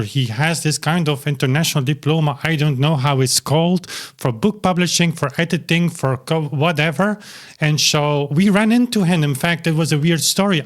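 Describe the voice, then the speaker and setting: Rambling man talking to camera. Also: on the high side. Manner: rambling, with many restarts and fillers. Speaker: YouTube channel host. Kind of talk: casual talk to camera. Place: small cozy home studio.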